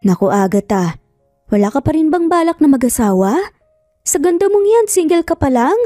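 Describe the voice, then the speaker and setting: A woman's voice: high voice, big pitch swings, normal speed, mellow, dry recording, clean studio sound, faint music behind, pitched above the performer's own voice. A woman voicing a nosy, gossipy female office coworker in a story, teasing and prodding with exclamations. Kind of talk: dramatized Tagalog story narration.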